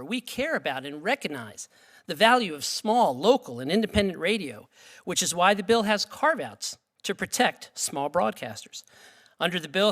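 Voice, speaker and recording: A man's voice: high voice, fairly bright tone. A man reading prepared testimony in a formal, emphatic way. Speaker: witness at congressional hearing. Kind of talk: prepared testimony read aloud. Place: congressional hearing room.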